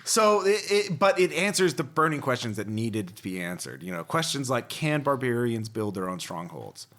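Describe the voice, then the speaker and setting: A man's voice: medium pitch, very animated, moderate pace, fairly bright tone. A man hosting a podcast, chatting casually and reading passages aloud with a wry, joking manner. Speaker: podcast host.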